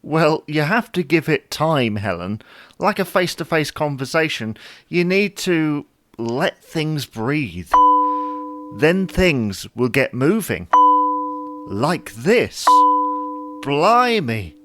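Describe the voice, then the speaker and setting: A man's voice: a little high, sing-song, takes it slowly. A man playing a wry, matter-of-fact husband who teases his wife in a domestic comedy audio drama. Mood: teasing, weary. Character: wry, patient, mildly bickering husband glued to social media.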